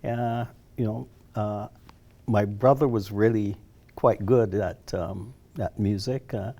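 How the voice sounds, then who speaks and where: Elderly male interviewee from Barbados. Creaky, mellow voice, halting delivery with repeated words, conversational reminiscing. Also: on the low side, fairly even intonation. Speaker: interview guest, retired social worker.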